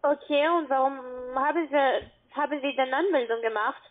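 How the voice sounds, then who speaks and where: A woman with a clearly high voice and a foreign accent sells over a tinny phone line. Her phrasing is non-native and halting as she works through her telemarketing pitch. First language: not German. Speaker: cold-calling telemarketer. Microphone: telephone. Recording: narrow-band phone line.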